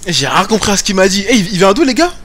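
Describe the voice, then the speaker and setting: A man's voice: sits high, sing-song, rapid-fire, fairly bright tone. A young man streaming a game from home, chatting with viewers in slangy, joking banter. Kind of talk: casual gaming commentary. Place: home room, webcam.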